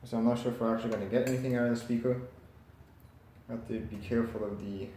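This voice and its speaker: Guy with a lowish voice talking himself thru a fix-it job, casual, kinda tentative, echoey room. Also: dark tone. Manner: tentative, thinking aloud while working. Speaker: hobbyist radio repairer presenting. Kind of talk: casual hands-on repair narration. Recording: roomy echo with faint background noise.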